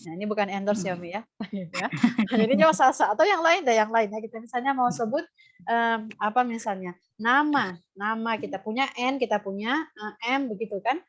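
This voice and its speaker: A woman's voice: fairly high pitch, brisk. A woman with an Indonesian accent teaching Quran recitation in a live lesson, explaining and demonstrating how sounds are pronounced. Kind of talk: live lesson answering student questions. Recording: dull, lo-fi online audio.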